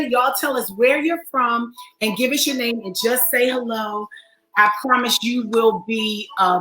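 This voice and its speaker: A woman with a raspy voice hosts a livestream talk show. She greets viewers by name and laughs often. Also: a little high, bright, forceful tone, roomy sound, poor audio. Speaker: online talk show host. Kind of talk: welcoming livestream chat.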